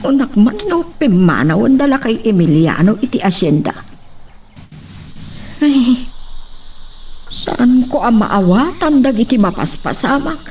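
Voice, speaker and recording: A woman's voice: fairly high pitch, expressive, unhurried, long pauses, darkish tone. A woman acting a nervous servant in an Ilocano-accented radio-style melodrama, with faint noise behind. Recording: faint background noise and insects.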